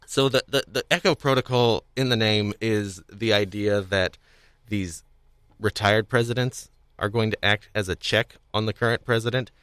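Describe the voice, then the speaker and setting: A man hosting a podcast, talking casually about audio dramas in a halting, hedging way and often chuckling. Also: fairly low pitch, fairly even intonation, a bit bright, smooth, no room sound, clean studio sound. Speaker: podcast host.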